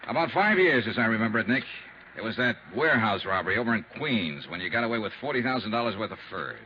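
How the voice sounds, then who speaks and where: An actor playing a tough, sardonic investigator who wisecracks and narrates in clipped hard-boiled style in a 1950s radio drama. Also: male voice, fairly low pitch, very animated, quick pace, sharp tone. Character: tough, sardonic, unbribable male insurance investigator. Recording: dull, noisy 1950s radio recording.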